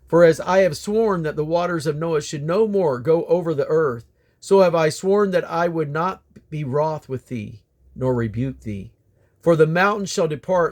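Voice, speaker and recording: A man reading scripture aloud in a solemn style, with deliberate, declamatory phrasing that falls away at the ends of phrases. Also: fairly high pitch. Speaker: Bible reader.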